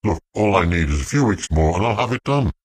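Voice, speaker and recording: A man playing a stubborn male computer researcher and heavy smoker, with a gravelly, husky voice and a grudging, muttering delivery. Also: deep voice, expressive, fast, no echo, pitched below the performer's own voice. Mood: grumbling, pleading. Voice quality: gravelly, husky, throat-clearing.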